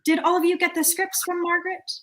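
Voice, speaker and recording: A woman's voice: very high voice, little pitch movement, fast talker, fairly bright tone. A woman acting a prim, officious committee chairwoman with a formal, fussy manner, in an amateur play reading. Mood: businesslike.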